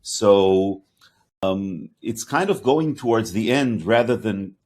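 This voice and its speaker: A man with a slight Hebrew accent hosting an interview podcast, talking in a halting way with many fillers and restarts. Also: lowish voice, lively intonation, darkish tone. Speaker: podcast host. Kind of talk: interview conversation.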